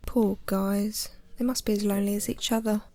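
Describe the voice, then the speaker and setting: A woman's voice: mid-range voice, level tone, normal speed, mellow, close to an everyday voice. An actress playing a kindly young woman in an audio drama. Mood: gentle. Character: kindly young woman visiting her gran. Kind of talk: scripted audio drama dialogue.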